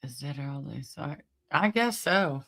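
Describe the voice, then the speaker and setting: Woman hosting a chatty commentary stream, bright voice, super informal, talks right to viewers. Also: deep voice, animated, unhurried, creaky. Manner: informal, conversational, addresses listeners directly. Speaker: host of a commentary channel.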